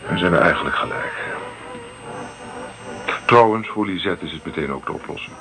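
A man's voice: sits low, lively intonation, normal speed, music in the background. An actor playing a blunt, restless man in a Dutch radio drama. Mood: gruff, dismissive. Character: restless, blunt young man leaving for abroad.

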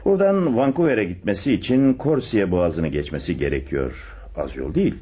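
An actor playing a confident police chief inspector in a radio play, with an expressive, deliberate theatrical delivery. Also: male voice, deep voice, slightly rough. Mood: confident, reasoning. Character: male police chief inspector, secretly in love, confident theorist. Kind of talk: Turkish radio theatre acting.

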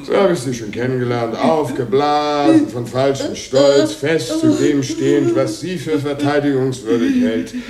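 A man's voice: mid-range voice, very animated, unhurried, hardly any pauses, roomy sound, far from the mic, a loud crowd. A man acting a menacing, self-righteous Soviet official in a radio drama, talking pompously and justifying himself. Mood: scornful.

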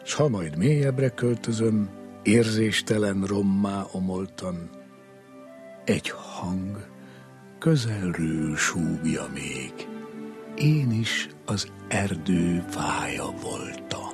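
A man reciting poetry solemnly, in a measured and expressive way. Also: sits low. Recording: voice over music.

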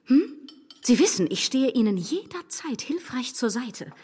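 A high, very animated overbearing society lady in a period radio play. She gushes and patronises, always insisting. The voice rings in a reverberant room with clear noise behind it. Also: unhurried, full character voice. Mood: gushing, meddling. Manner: effusive, condescending, insistent. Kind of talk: German radio play acting.

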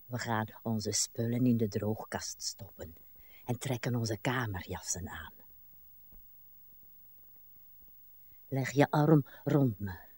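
An actress playing a weary, plaintive old wife in a radio drama, by turns murmuring and insistent. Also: female voice, very deep voice, dark tone, slightly rough, no room sound, heavily acted. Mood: weary, tender. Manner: slow, theatrical, swinging between murmurs and cries. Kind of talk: broadcast radio drama acting.

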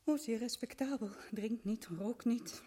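An actress playing a young woman accused of murder in a radio play, halting and pleading. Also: on the high side, warm tone, breathy edge. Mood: bitter. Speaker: accused prisoner.

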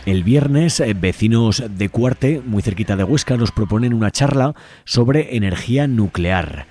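A man's voice: on the low side, expressive, a little slow. A man hosting radio, reading out community event announcements in an informal, conversational way. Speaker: radio show host. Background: music.

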